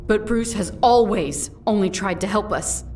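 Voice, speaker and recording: A woman's voice: mid-range voice, moderate pace, darkish tone, recorded from a distance, soft background music, close to an everyday voice. A woman voicing an earnest, conciliatory young vigilante in a comic dub. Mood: urgent, conciliatory. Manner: earnest, peacemaking.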